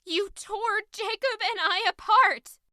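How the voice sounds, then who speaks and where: A woman's voice: extremely high pitch, takes it slowly, pushed and bright, no room sound, studio quality, full character voice. An actress playing an anguished, furious young woman in an audio drama, impassioned, accusing and distraught. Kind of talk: emotional audio drama acting.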